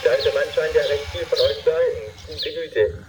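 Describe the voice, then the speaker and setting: A man's voice: a little high, normal speed, music in the background, natural voice. A man playing a long-winded, over-familiar lawyer with a stilted, pompous way of talking in an amateur parody radio play. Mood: chummy. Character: long-winded, chummy adult male lawyer phoning detectives. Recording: noisy amateur recording.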